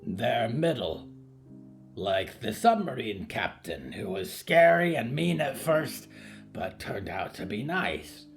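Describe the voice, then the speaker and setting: A man voicing a huge, gentle, simple-minded giant with earnest, childlike phrasing in an audio fiction story. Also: mid-range voice, very animated, slow pace, vocal fry, faint music behind, full character voice. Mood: earnest storytelling.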